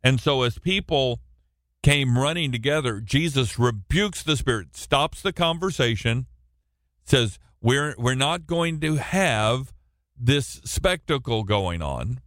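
A man teaching through a Bible passage on the radio, with a loud, projecting voice and a halting delivery full of restarts and repeated words. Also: medium pitch, expressive, no echo, clean studio sound. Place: radio studio, alone.

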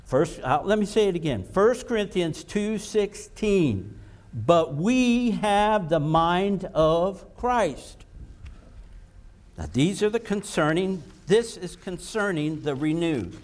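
A man preaching a sermon, slow and emphatic, repeating words for stress. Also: high voice, lively intonation.

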